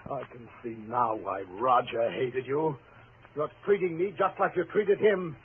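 An actor playing a nervous, guilt-ridden, weak-willed lover in a 1940s radio mystery, speaking in a faltering, melodramatic way. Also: male voice, medium pitch, measured pace, close to an everyday voice. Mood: hurt, anxious. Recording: scratchy, tinny old radio broadcast.

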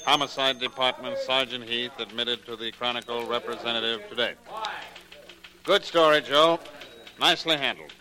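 A man's voice: medium pitch, moderate pace, sharp tone. An actor playing a businesslike American newspaperman in a 1940s radio drama, reading out news copy. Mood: brisk, businesslike. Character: brisk, businesslike newspaper chief dictating a crime story.

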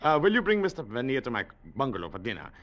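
Plantation host: courtly and formal, highly varied melody, dulled vintage radio sound. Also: male voice, huge pitch swings, a bit bright. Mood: suavely polite. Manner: polite, formal, hesitant murmured pauses. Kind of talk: 1940s-50s radio drama acting. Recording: muffled old radio recording.

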